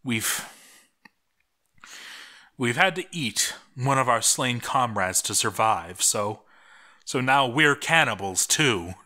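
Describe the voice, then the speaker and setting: A man's voice: fairly low pitch, sharp tone. A man playing a doomed dwarf diarist, bitter, sardonic and sweary, unravelling as he reads out his journal. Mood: broken, desperate. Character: doomed male dwarf expedition diarist, bitter, cracking into madness. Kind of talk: dramatic journal reading.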